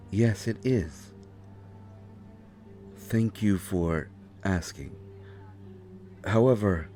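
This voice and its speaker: A man playing a mysterious, courteous passenger in an audio drama, speaking in a formal, measured and cryptic way. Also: deep voice, a little mellow. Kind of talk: roleplay audio drama acting.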